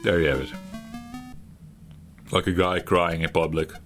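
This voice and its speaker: A middle-aged man hosting his retro gaming channel, talking while he plays in a halting, reflective way, searching for words and laughing at himself. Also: low-pitched, steady tone, faint music behind. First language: Dutch. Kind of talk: reflective gameplay commentary.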